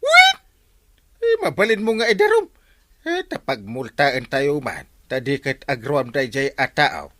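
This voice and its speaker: An actor with an Ilocano accent playing an excitable, exclamatory village captain in a comic radio drama. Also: male voice, mid-range voice, unhurried, no room sound, full character voice. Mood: indignant, amused. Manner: exclamatory, blustering, sputtering.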